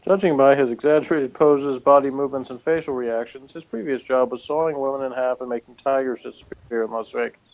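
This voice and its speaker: Man, podcast co-host, mid-pitched, dry wry delivery of review notes, slightly muffled sound. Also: brisk, hardly any pauses, a little mellow. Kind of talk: casual review chat.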